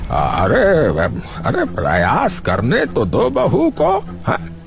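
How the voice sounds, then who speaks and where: A man's voice: high voice, huge pitch swings, very slow, vocal fry, full character voice. A man voicing a kindly, flustered old village grandfather in a cartoon, repeating his words as he stammers along. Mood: approving. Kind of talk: Hindi cartoon story voice acting.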